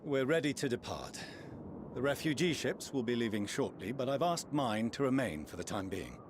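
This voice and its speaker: An actor playing a polite, scholarly young diplomat who speaks formally with old-fashioned phrasing in a video game cutscene. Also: male voice, medium pitch, expressive, moderate pace, noisy background, lightly acted. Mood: earnest.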